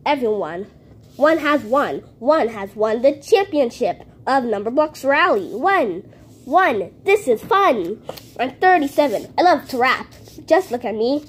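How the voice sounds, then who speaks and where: A woman's voice: sits high, sing-song, a little slow, slightly rough, full character voice. A woman voicing a cheerful, boastful male number block who chants rhymes in a sing-song way while playing with paper cutouts at a home table, recorded on a close phone. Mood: chanting. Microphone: handheld phone camera close to table.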